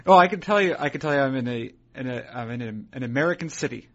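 A man hosting a podcast, talking in a wry, halting way full of fillers, sounding sleepy early in the morning in a hotel room. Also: medium pitch, expressive, highs cut off. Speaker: podcast host. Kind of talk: rambling podcast intro and chat.

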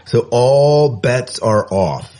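A man's voice: medium pitch, big pitch swings, very slow, warm tone. A man co-hosting a podcast, chatting in an upbeat, rambling way with asides. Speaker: podcast co-host. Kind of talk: casual podcast chat.

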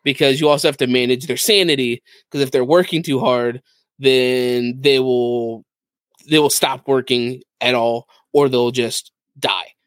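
A man with a mid-pitched voice talks casually in a dry, close recording. He explains things enthusiastically, in the easy conversational style of a gaming podcast host. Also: fairly even intonation, unhurried, no echo. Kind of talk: casual chat about video games.

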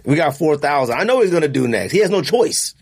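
A man's voice: fairly high pitch, sing-song, fast, a little hiss or hum. A man hosting a casual podcast with friends, teasing them playfully and calling out his picks like an announcer. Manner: playful trash talk, teasing, announcer-style pick calls. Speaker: podcast host. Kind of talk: casual chat among friends.

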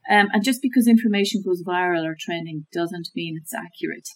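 A woman talking through how to check information, in a measured, thoughtful way with many fillers and restarts. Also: lowish voice, slight background noise. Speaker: guest expert on media literacy. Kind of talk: conversational explanation.

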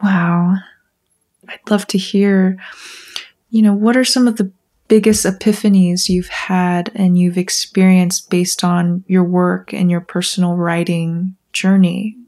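A woman interviewer asking curious, measured questions. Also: on the low side, level tone, a little mellow.

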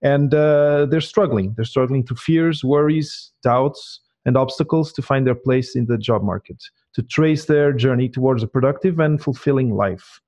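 A man interviewing a guest, halting and repeating words as he asks his questions. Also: mid-range voice, darkish tone.

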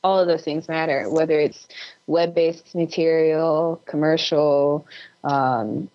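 Slow, very low-voiced woman, lo-fi. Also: steady tone. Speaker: filmmaker guest. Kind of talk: casual interview advice.

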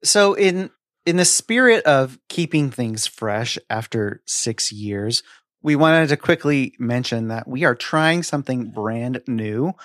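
A man's voice: medium pitch, animated, takes it slowly, fairly bright tone, no echo, clean studio sound. A middle-aged man speaking as a podcast host in a casual, conversational style.

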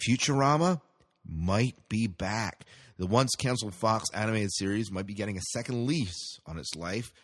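Low, husky-voiced American male podcast host. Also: moderate pace, fairly bright tone. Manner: informal, hesitant, self-correcting. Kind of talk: casual sci-fi news and movie segment talk.

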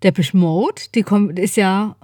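A woman's voice: mid-range voice, unhurried, mellow, no echo, clean studio sound. A woman in her fifties chatting casually and informally as a podcast co-host. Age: 50s.

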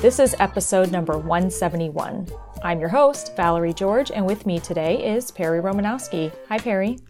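A woman's voice: lowish voice, expressive, moderate pace, darkish tone, soft background music. A woman in her thirties hosting a podcast, opening the show and chatting in an upbeat, organized way. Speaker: host. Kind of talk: scripted podcast intro and casual chat.

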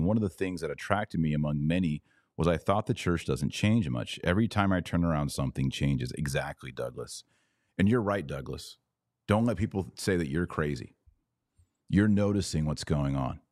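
A man's voice: rumbling low, normal speed, a little mellow, no echo, studio quality. A man hosting a podcast. He reads out viewer comments, riffs on them with sarcasm, and turns preachy when he makes his point. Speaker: podcast host.

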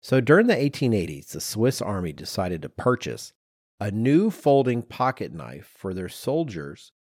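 Low-voiced man, dry close podcast sound. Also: big pitch swings, moderate pace, a little mellow, breathy edge, no echo. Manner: informal, explanatory with personal asides. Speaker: podcast co-host. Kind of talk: conversational storytelling from research.

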